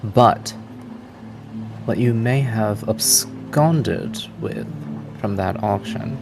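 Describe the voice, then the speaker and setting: A man voicing a courteous, formal woman paladin in a tabletop game, measured and insistent. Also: on the low side, vocal fry, over music, full character voice. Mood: formal, earnest.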